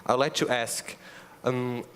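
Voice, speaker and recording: A young man with a Brazilian Portuguese accent speaks earnestly on a conference panel, his mid-pitched voice echoing through a big hall. A faint room hum sits underneath, and he fills his pauses with ums and restarts. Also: fairly even intonation, fairly bright tone. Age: young adult. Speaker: youth ambassador panelist. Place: large conference auditorium.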